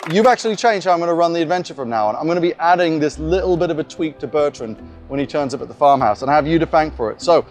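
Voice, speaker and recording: A man with a slightly high voice speaks conversationally, like a game master explaining his approach in a casual vlog. Soft guitar music plays behind his voice. His delivery is explanatory and informal. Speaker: game master giving scenario tips.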